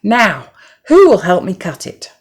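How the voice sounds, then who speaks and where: A woman voicing a cheerful, industrious little mother hen in a storybook, exclaiming in an excited, sing-song way. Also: mid-range voice, measured pace, slightly rough, pitched above the performer's own voice, exaggerated voice. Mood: brisk, calling.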